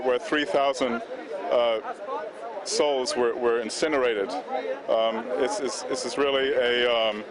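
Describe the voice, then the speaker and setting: Indignant man amid a rally crowd. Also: medium pitch. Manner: indignant, emphatic, halting. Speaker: rally protester being interviewed. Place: outdoors at a street rally. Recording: crowd noise of an outdoor rally.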